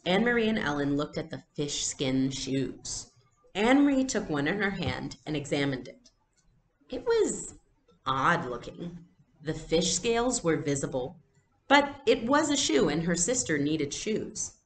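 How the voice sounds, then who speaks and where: A woman reading a storybook aloud as a teacher, in an expressive style with explanations. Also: low-pitched, a little slow, reverberant, slight background noise.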